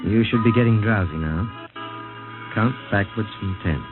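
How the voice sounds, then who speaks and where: An actor playing a commanding, clinical scientist in a mid-century radio drama, questioning in a measured, authoritative and deliberate way. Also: male voice, on the low side, lightly acted. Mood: commanding, hypnotic. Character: commanding male scientist, clinical and probing interrogator. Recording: tinny narrow-band vintage radio broadcast, music.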